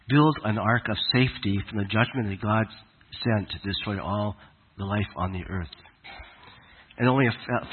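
A man with a cold and a raspy voice, giving a conversational, halting sermon to his congregation. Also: medium pitch, narrow, tinny audio. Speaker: congregation leader. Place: congregation hall in Alberta.

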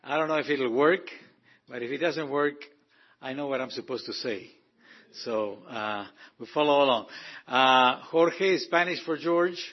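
A man's voice: mid-range voice, measured pace, highs cut off. A man from Argentina, director of a ministry, giving an informal testimony to a congregation with many fillers and restarts. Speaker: guest speaker, ministry director.

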